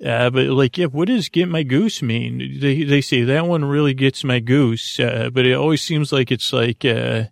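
Creaky, rambling male bedtime podcast host. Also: mid-range voice, no echo, studio quality. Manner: meandering, halting, digressive; lulling, soothing.

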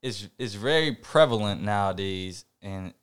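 A young man co-hosting a podcast, talking in a reflective, halting way with many fillers and restarts. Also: lowish voice, expressive, over a noisy crowd. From Puerto Rican family background. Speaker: podcast co-host. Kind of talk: casual podcast chat.

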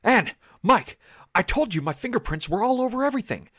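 A man playing a husky, earnest, put-upon fellow. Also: extremely high pitch, very animated, brisk, bright, forceful tone, dry recording, clean studio sound, exaggerated voice. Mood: flustered. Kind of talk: 1940s radio drama acting.

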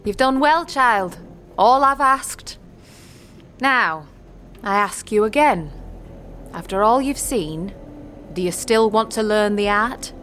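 A woman's voice: medium pitch, lively intonation, slow, pauses often, a bit bright, slight background noise. A woman playing a kindly, cagey old midwife witch in a fantasy audio drama, speaking in folksy, archaic phrases and repeating her words. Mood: approving, measured. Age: older.

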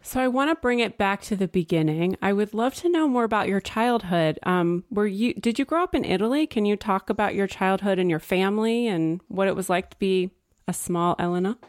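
A woman's voice: medium pitch, lively intonation, brisk. A woman hosting a podcast, asking curious, friendly questions in a casual interview. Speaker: podcast host.

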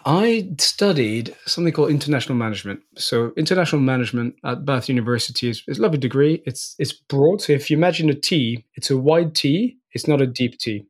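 Interview guest: man, forties, from France, blended French and Northern Irish accent, easygoing, repeats words as he thinks. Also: mid-range voice. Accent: mixed French and Northern Irish. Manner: relaxed, frequent restarts and small laughs. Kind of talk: casual interview chat.

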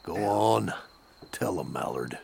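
An actor playing Deputy Mallard, a cautious, placating Southern treasurer with a Southern accent. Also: male voice, low-pitched, animated, slow, vocal fry, background noise, heavily acted. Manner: hedging, placating.

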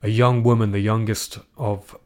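A young man from Iceland with a British accent, speaking as a guest in a reflective interview, thoughtful and halting with many fillers and restarts. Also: lowish voice, level tone, a little mellow. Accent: British English. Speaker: guest, composer.

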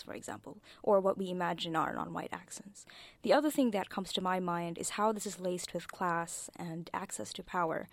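A woman's voice: on the low side, gravelly, no echo. Articulate woman with a slight American twang. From Saudi Arabia. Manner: articulate, analytical. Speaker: panel speaker. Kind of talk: discussion.

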